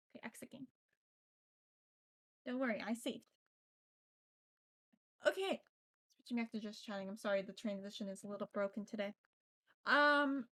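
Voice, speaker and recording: A woman game streamer talking casually to her chat, rambling with frequent ums and the odd swear word. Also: mid-range voice. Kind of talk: casual live-stream chat while playing.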